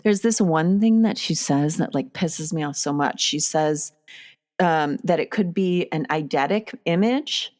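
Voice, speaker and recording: Low-voiced woman chatting about films. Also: measured pace, darkish tone. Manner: chatty, searching for words, many fillers. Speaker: podcast host. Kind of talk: casual film discussion.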